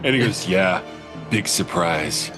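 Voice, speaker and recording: Profane, lively man ranting about movies. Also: low-pitched, takes it slowly, over music. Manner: profane, animated, self-mocking. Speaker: podcast co-host. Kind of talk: casual movie review chat.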